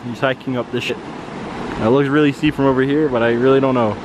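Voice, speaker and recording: A young man gives offhand commentary while filming a friend outdoors, cursing casually. His voice sits at a middle pitch, set against a noisy outdoor background. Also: fairly even intonation, fast talker. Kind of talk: casual commentary from behind the camera. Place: outdoors by a waterfall.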